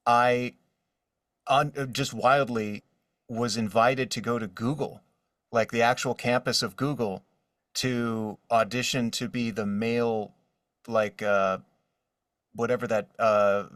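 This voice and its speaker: A man's voice: mid-range voice, fairly even intonation, slow pace, long pauses, fairly bright tone, clean studio sound. A man chatting casually as an interview guest, answering questions about his working life as a voice actor. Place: indoor room with drawings behind him. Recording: roomy, distant mic.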